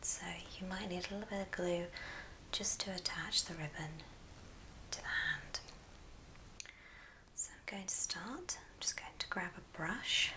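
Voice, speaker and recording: A woman whispering a gentle, step-by-step ASMR craft tutorial in a soft, breathy voice. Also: fairly low pitch, low-quality recording. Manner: unhurried, gentle step-by-step instruction. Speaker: ASMR host demonstrating a craft. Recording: clear background hiss and clicks.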